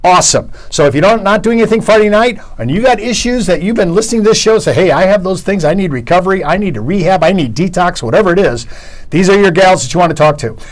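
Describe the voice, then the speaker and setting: High, lively enthusiastic male host. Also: fast talker. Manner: enthusiastic, rambling, repeats words.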